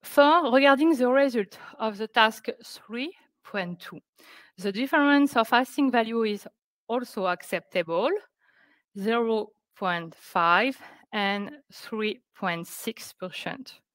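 A woman presenting scientific results on a webinar, speaking careful, halting English. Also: a little high, fairly bright tone, no echo. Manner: careful, halting non-native English delivery. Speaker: researcher presenting project results. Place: indoors on a video call.

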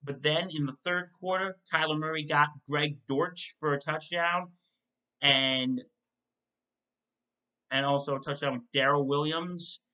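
A young man hosting a solo football postgame recap from his home kitchen, reading stat lines in quick lists and correcting himself as he goes. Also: on the high side, fairly even intonation, pushed and bright, poor audio. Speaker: sports show host. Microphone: desk mic in front of a webcam.

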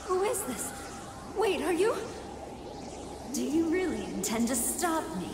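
A woman's voice: high-pitched, sing-song, a little slow, heavy background noise. An actress playing a female android who fights a monstrous hunger, in a video game cutscene. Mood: sinister. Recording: echoing voice under loud music.

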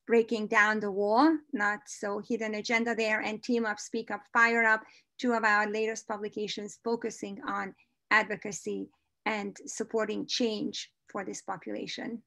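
An upbeat woman with a Hungarian accent, presenting research over a video call. Her voice sits fairly high and she talks with eager energy. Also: fairly bright tone. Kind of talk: conference presentation.

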